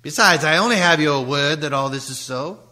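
A man's voice: on the high side, moderate pace, bright, forceful tone. An actor playing a miserly, grumpy old businessman in a radio play, curt, sneering and scornful. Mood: sardonic, dismissive. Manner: curt, sneering, grumbling with hums and dry chuckles.

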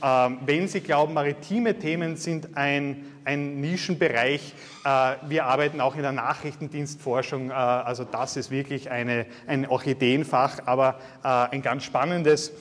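A man's voice: medium pitch, fairly even intonation, normal speed. A man in his thirties with a Styrian Austrian accent, giving a university guest lecture in a chatty, self-deprecating way with many filler sounds. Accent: Styrian Austrian German. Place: large lecture hall. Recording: reverberant lecture hall.

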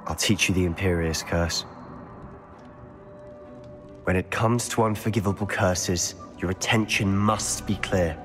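A man's voice: low-pitched, steady tone, unhurried, pauses often, fairly bright tone, soft background music. A young man with a British accent voicing a troubled, fiercely loyal teenage wizard in a video game, intense and conflicted. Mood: grave, instructive. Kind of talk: video game character dialogue.

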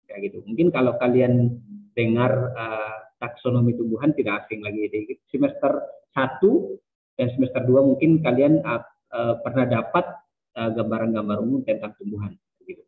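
Young male lecturer, casual and halting, tinny distant call audio. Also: mid-range voice. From Sumba, Indonesia. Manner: casual, many hesitations and fillers. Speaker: university lecturer. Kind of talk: online lecture.

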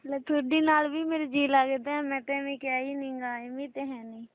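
High-voiced evasive young daughter-in-law on a phone acted by a woman. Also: little pitch movement, a little fast, natural voice. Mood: placating. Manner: polite, placating, short hesitant replies. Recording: tinny narrow-band phone call.

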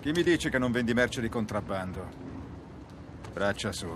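A man playing a menacing rebel guard in a video game, gruffly barking orders. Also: fairly low pitch, expressive, moderate pace, natural voice. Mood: bullying. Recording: clear outdoor background noise.

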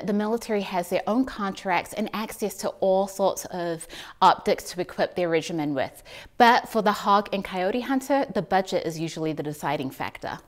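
A woman in her thirties, talking straight to camera from indoors. Her voice sits at an ordinary pitch and she lays out her points in a clear, measured, explanatory way. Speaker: optics reviewer and host. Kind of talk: scripted product comparison to camera. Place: indoors in front of a plain wall.

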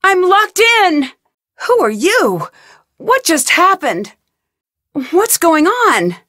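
A woman playing a young, inquisitive and determined female amateur detective in a video game, speaking her scripted lines clearly. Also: extremely high pitch, sing-song, slow pace, pushed and bright, no echo, clean studio sound, full character voice. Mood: alarmed. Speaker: voice actress in a detective adventure game.

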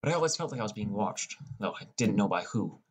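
A man's voice: lowish voice, animated, quick pace, breathy edge. Young man narrating a first-person story. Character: depressed, irritable high school boy telling his story. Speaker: audiobook narrator.

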